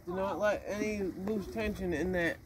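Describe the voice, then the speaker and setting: A man in his thirties filming a casual fishing vlog from a small boat on a lake, loudly and eagerly coaching his kids as they reel in fish. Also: high-pitched, steady tone, moderate pace. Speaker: fishing vlogger and father. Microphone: action camera mounted on the boat. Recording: outdoor wind and water noise.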